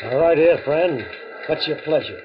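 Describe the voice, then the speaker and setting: An actor playing a brisk, breezy male betting bookie with slangy talk in a 1950s radio drama. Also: on the high side, close to an everyday voice. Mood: breezy, businesslike. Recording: muffled 1950s radio recording with music.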